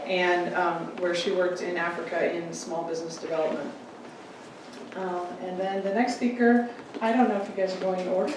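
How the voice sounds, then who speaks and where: A woman reads panelist introductions to a seated audience, stopping often on "um" and "uh." Her pitch stays flat and even. She sounds distant from the microphone in an echoing room with faint background noise. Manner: halting, many ums and restarts. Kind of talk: formal introductions. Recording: echoey room from a distant mic.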